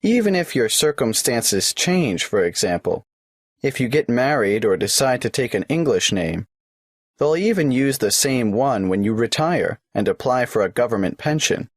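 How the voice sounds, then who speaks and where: A polite government clerk who takes an applicant through a form, asking his questions one by one in a patient, matter-of-fact way. His pitch rises and falls in a lively way, and the recording is dry and close. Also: moderate pace, dry recording. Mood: businesslike. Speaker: tax office clerk. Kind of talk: scripted exam listening dialogue.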